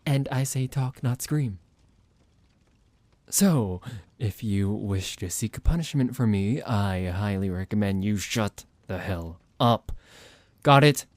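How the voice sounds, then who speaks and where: Guy doing a creepy vampire doctor asmr thing, talks real slow, kinda deep, smug fake-polite captor. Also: no echo. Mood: stern, commanding. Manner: hesitant pauses, mock-polite, drawn-out musing. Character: psychotic male vampire doctor, menacing, condescending, playful captor. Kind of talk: ASMR roleplay monologue.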